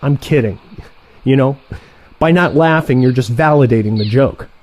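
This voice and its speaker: A man's voice: mid-range voice, moderate pace, a little mellow, slight background noise, lightly acted. An actor playing a cocky younger male assassin who banters with teasing sarcasm in a comic audio play. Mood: mocking.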